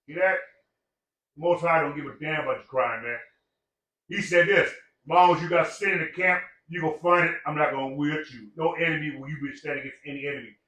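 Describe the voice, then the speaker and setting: A man's voice: fairly high pitch, brisk, bright, forceful tone, recorded from a distance. Profane, preachy older man in an echoey room. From Samoan heritage. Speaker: podcast guest. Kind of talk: casual chat.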